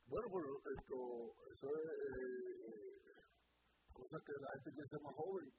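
Fields: Man. Role: talk show host. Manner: animated. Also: a little high, unhurried, slight background noise, poor audio. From Dominican Republic. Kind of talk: casual radio talk show.

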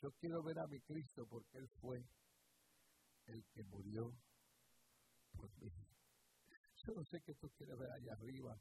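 A man's voice: medium pitch, unhurried, creaky, long pauses, noisy background. A man from the Bronx preaching a sermon as a pastor, with an emphatic, repetitive delivery and conversational asides. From the Bronx, New York, United States.

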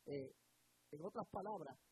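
Middle-aged man, radio pastor, preaches with fervor and repetition, a touch high-voiced, over audible hiss. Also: expressive, warm tone. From Puerto Rico. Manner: fervent preaching with repetitions and drawn-out emphasis. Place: radio studio. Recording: hissy broadcast recording.

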